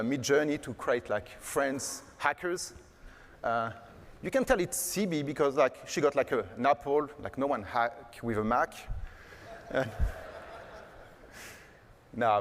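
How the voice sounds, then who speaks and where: A man giving a casual, joking conference keynote on stage, full of fillers like "like" and "uh". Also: on the high side. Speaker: keynote speaker. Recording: long hall reverb.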